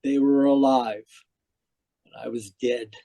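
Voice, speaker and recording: A man acting a tormented dead man who narrates his afterlife in old-time radio mystery style, halting and pleading. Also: mid-range voice, steady tone, warm tone, no room sound. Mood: haunted, weary. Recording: live video call performance.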